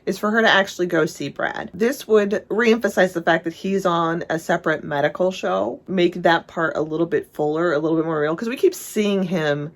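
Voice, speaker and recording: A woman's voice: lowish voice, normal speed, hardly any pauses. A woman giving casual, unscripted film commentary to viewers in a chatty, rambling, self-deprecating way. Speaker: video essayist reviewing a film.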